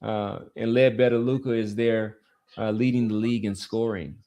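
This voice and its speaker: A man's voice: lowish voice, fairly even intonation, moderate pace, warm tone. A man talking sports casually as a podcast panelist, argumentative and often repeating his points.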